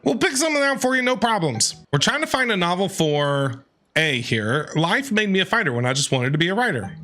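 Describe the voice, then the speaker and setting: Animated, bright-voiced game streamer. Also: medium pitch, fairly quick, faint music behind. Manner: playful, reactive, talks back to game characters. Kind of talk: casual live gameplay commentary and reading aloud.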